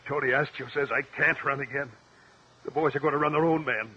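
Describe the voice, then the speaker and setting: An actor playing an anxious crooked American politician in a mid-century radio drama, with a tense, clipped delivery. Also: male voice, medium pitch, steady tone, brisk, breathy edge, muffled recording, lightly acted. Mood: tense, grim. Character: anxious crooked male politician in debt to gamblers. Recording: noisy old radio drama recording.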